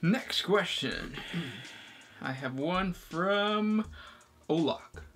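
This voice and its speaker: A man's voice: high voice, lively intonation, extremely slow, a bit bright, faint music behind. A man co-hosting a casual chat, laid-back and joking, trailing off with ums. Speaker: co-host.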